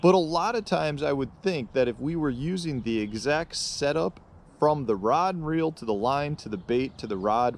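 A man's voice: a little high, darkish tone. A man in his forties explaining fishing gear in a conversational way to camera, repeating points for emphasis. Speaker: fishing show host and rod builder. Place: outdoors in a lakeside park. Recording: outdoor ambient noise.

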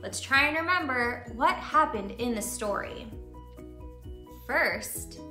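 Woman, 30s, children's read-aloud teacher: expressive, animated, unhurried, slightly high voice. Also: roomy sound, soft background music. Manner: expressive, patient, questioning delivery for young children.

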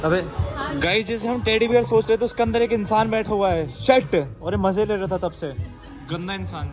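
A man's voice: high voice, steady tone, normal speed, muffled recording. A young man vlogging a cafe review on a phone held at arm's length, talking in a brash, mocking, slangy way. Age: young adult. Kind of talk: casual cafe review vlog. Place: busy cafe indoors. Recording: loud music and crowd in cafe.

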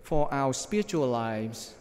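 A middle-aged man, a priest, preaching a measured sermon from notes at a church lectern microphone. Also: medium pitch, expressive, darkish tone. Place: large church, at the ambo. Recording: long church reverb.

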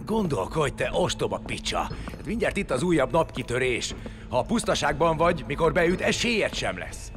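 An actor playing an arrogant, contemptuous outsider held captive, speaking with scornful superiority in an audio drama. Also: male voice, fairly high pitch, sing-song, over music. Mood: insulting, urgent.

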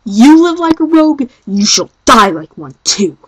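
Woman as a grave, husky leader cat. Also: on the high side, sing-song, moderate pace, low-quality recording. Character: grown-up, restrained male leader cat.

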